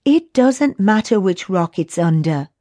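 A woman's voice: medium pitch, lively intonation, measured pace, mellow, no room sound, natural voice. A woman voicing a bossy, sensible young boy who leads his friends in a children's audiobook. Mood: earnest.

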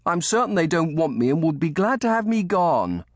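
Storybook orphan boy, played by a man: frail, stubborn, stammering, high and animated, close dry sound. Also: normal speed, dry recording. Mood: pleading. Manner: stammering, repeating words in protest. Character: frail, upset, stubborn orphaned young boy of genteel household.

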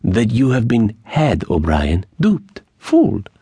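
A man voicing a sociable yet menacing bandit leader in an audiobook, smooth and deliberate. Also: lowish voice, wildly swooping pitch, warm tone, no echo, pitched above the performer's own voice, heavily acted. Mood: knowing, mocking.

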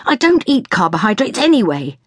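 A woman's voice: lowish voice, wildly swooping pitch, normal speed, slightly rough, dry recording, studio quality, voice pushed up, full character voice. A woman voicing a frazzled, sweary mother in a storybook, exasperated and fretting over her son, snapping and shrieking. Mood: exasperated, yelling.

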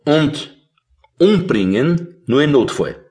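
Viennese cop out of work, scheming, casual, lively swings, radio comedy. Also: male voice, fairly high pitch, slow. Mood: earnest, scheming. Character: scheming out-of-work male detective, earnestly absurd. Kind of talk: comic radio play acting.

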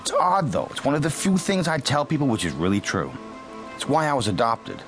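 A man's voice: medium pitch, sing-song, a little fast, fairly bright tone, soft background music. An actor playing a calculating, detached young American man, narrating dryly and confidingly in a radio drama. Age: young adult.

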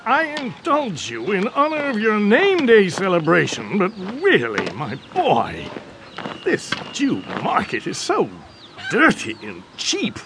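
A man's voice: high voice, huge pitch swings, slow pace, background noise, full character voice. An actor playing a haughty, bigoted older count and domineering father in an audio drama, with a pompous, mocking manner. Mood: scornful.

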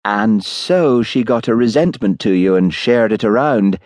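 A man's voice: fairly low pitch, lively intonation, unhurried, darkish tone, no room sound, clean studio sound, voice pushed up. A man voicing a friendly, frank, slightly vague doctor's wife in an audiobook. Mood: comfortable, frank. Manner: vague, cheerful, chatty. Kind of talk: audiobook character voice.

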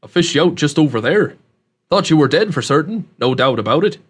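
Man doing a folksy old country hermit, higher-pitched. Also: animated, fairly quick, breathy edge. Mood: amused, folksy. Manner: rustic ungrammatical dialect, matter-of-fact. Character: scruffy old rustic hermit, blunt, folksy, amused.

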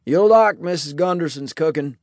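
Man doing a folksy Old West sheriff, pitched up. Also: normal speed, no echo, clean studio sound, close to an everyday voice. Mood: friendly. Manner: folksy, hesitant, calming.

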